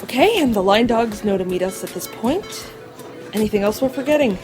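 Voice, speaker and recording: A steady, loyal young woman played by an actress in an audio drama. Her voice is fairly high, with clear music playing behind it. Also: fairly quick, lightly acted. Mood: businesslike.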